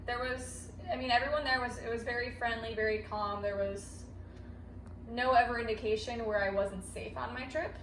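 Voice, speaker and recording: A woman's voice: fairly high pitch, fairly even intonation, normal speed, a bit bright. A young adult American woman chatting casually, with a chatty, explanatory way of talking. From United States. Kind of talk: casual chat. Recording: echoey room, distant mic, noticeable noise.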